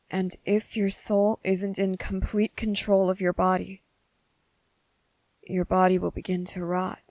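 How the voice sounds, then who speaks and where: A soft-spoken, possessive vampire lover, acted by a woman speaks slowly and intimately in an ASMR roleplay. His delivery stays flat and even, with barely any rise or fall. The recording is close and very dry, with no room sound. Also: medium pitch, dry recording. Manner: slow, soft-spoken and intimate, slipping into whispers. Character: young male vampire, devoted and possessive lover.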